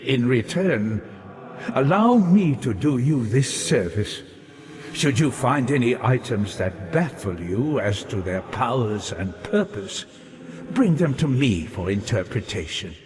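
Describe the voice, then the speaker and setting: A weary, wryly reflective scholar from a video game, heard in long hall reverb. He speaks slowly and theatrically in measured, literary phrases. His pitch sways widely, giving the melancholy a grand sweep. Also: big pitch swings, a little mellow, a little hiss or hum. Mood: courteous, grateful. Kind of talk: scripted video game character dialogue.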